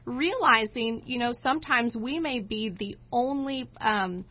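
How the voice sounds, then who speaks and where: A dull, lo-fi recording carries a woman's fairly high voice. She co-hosts an inspirational talk show in a conversational style. Her phrasing is deliberate and emphatic, with pauses as she makes her points. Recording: dull, lo-fi audio.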